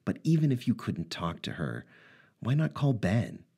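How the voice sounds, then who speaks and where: A man voicing a dogged former police officer turned investigator, probing and dry, close to his own husky voice. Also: fairly low pitch, sing-song, moderate pace, dark tone, pitched above the performer's own voice, exaggerated voice. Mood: gentle.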